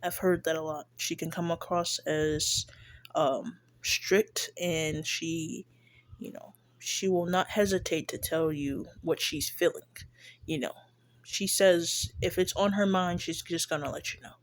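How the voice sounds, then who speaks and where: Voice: medium pitch, steady tone, unhurried. A stuffy-nosed speaker giving casual, shy, hesitant commentary on a clip, with many fillers and restarts. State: stuffy, congested nose. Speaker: reaction video creator. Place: lives in Texas.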